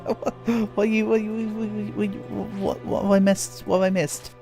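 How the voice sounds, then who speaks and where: A man's voice: sits high, flat delivery, measured pace, creaky, mellow. Stuffy-nosed guy rambling through a game stream, self-deprecating, faint music behind. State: congested, feeling a cold coming. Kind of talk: casual game livestream chat.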